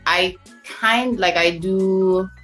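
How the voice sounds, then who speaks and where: A woman's voice: mid-range voice, drawn-out words, a bit bright, soft background music. A woman telling travel stories as a podcast guest, chatty and loose, with fillers and restarts. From Jamaica. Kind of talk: casual storytelling chat.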